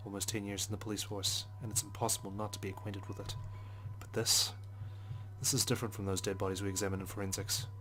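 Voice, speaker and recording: A man reading as a brooding police detective who narrates a visual novel in a measured, solemn way. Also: fairly low pitch, little pitch movement, pushed and bright. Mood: somber. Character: brooding, guilt-ridden male police detective narrating inwardly. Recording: music behind the voice.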